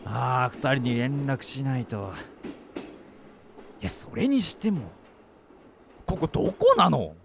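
A man's voice: mid-range voice, very animated, slow pace, creaky, long pauses, a little hiss or hum, heavily acted. A man playing a flustered young male student talking to himself in an amateur radio drama. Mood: confused.

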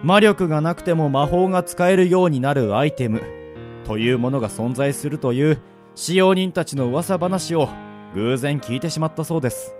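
An actor playing an easygoing, roguish fencing instructor in a radio drama, smoothly polite and laid-back, with music behind him. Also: male voice, fairly high pitch, moderate pace, darkish tone, natural voice. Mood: earnest. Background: background music.

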